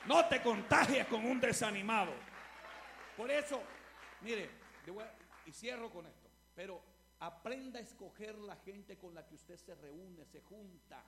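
High-pitched, gravelly Salvadoran pastor preaching. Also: male voice, a little slow, crowd noise, noisy background. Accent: Salvadoran Spanish. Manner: preaching with rising, shouted repetition. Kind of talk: Pentecostal-style sermon.

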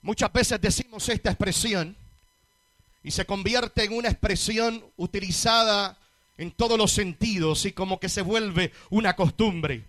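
A Puerto Rican man delivers a sermon in a very high-pitched voice. He speaks emphatically, builds on repeated phrases and addresses his listeners directly. The sound is dry and close, with little room around the voice. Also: measured pace, fairly bright tone, dry recording. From Puerto Rico. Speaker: guest preacher. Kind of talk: sermon to a large congregation.

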